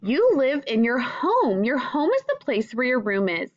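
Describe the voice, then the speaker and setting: A woman's voice: high voice, huge pitch swings, measured pace, no room sound, muffled recording. A woman teacher reading a children's picture book aloud, speaking directly to young listeners. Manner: addresses young listeners directly with simple questions. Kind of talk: children's read-aloud with questions and asides.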